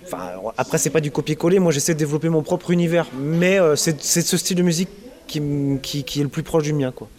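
A man's voice: a little high, steady tone, fast, a little mellow. A man in his thirties from Brittany, answering interview questions in a casual, hesitant way with many fillers and restarts. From Brittany, France. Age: 30s. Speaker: interviewed musician.

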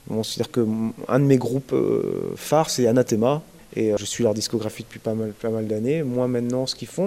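A man's voice: medium pitch, mellow. Hesitant man in his thirties. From Brittany, France. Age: 30s. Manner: hesitant, many fillers and restarts. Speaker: interviewed musician. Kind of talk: casual interview.

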